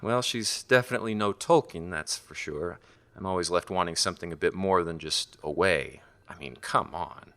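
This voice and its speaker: A man's voice: sits low, normal speed, bright, forceful tone. A man playing a teasing, skeptical boyfriend in an audio drama, with wry, coaxing, easygoing delivery. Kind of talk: audio drama acting, naturalistic dialogue.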